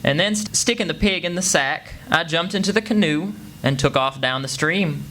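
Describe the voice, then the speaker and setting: A man's voice: on the high side, normal speed, bright, forceful tone, faint music behind. A man playing a plucky, crafty country boy telling his story in a radio play, folksy. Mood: proud, matter-of-fact. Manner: folksy, plain-spoken. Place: live performance before an audience. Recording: faintly noisy live stage recording.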